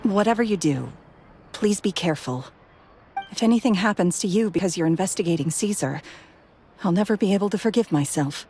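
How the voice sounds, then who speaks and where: A woman plays a caring young woman from a video game, with a warm, mellow voice. Her delivery is soft and earnest at a medium pitch, with faint noise behind. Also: expressive, a little fast, lightly acted. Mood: worried. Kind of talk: English video game voice acting.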